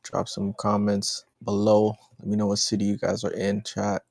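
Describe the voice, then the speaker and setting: Gravelly, very deep-voiced man livestreaming. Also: monotone, moderate pace, a little mellow, dry recording. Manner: slangy, repetitive, addressing the chat. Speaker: livestream host. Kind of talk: casual livestream commentary on news.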